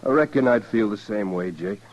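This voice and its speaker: Man acting muffled, low, stoic American cowboy. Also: moderate pace, lightly acted. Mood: resigned. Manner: terse, plain rural speech. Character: resigned, stoic cowboy condemned as a horse thief. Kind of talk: 1950s radio western acting. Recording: muffled old radio recording.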